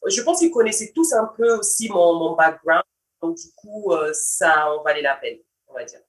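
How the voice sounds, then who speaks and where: A woman's voice: on the low side, normal speed. Portuguese-native woman, off the mic. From Cape Verde. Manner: relaxed, slips in English words. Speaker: samba dance teacher. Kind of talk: casual personal account. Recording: voice from far off the mic.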